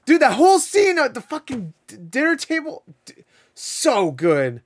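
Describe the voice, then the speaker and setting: A man in his thirties reacting to a show from home on a headset mic, excitable, swearing and laughing, with his voice going hoarse. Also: very high voice, unhurried, dry recording. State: losing his voice. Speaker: reaction video host. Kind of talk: casual reaction commentary. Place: home room, indoors.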